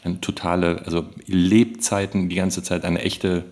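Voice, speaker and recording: A man's voice: deep voice, level tone, measured pace, darkish tone. A man chatting as a podcast host, emphatic, often repeating himself for stress. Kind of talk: casual podcast talk.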